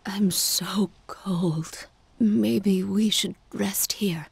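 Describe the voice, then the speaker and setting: A woman voicing a frail, weary noble lady in a fantasy game, weak and exhausted, in a breathy whisper. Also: mid-range voice, steady tone, drawn-out words, a little mellow, dry recording, heavily acted. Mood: weak suffering.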